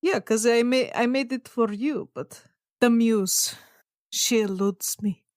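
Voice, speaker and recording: A woman plays a tabletop roleplaying game, speaking casually in character. Her voice is a bit high, her delivery plaintive and mock-dramatic, and the recording has a dry, close sound. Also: takes it slowly, a little mellow, dry recording. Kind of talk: casual in-character improvised chat.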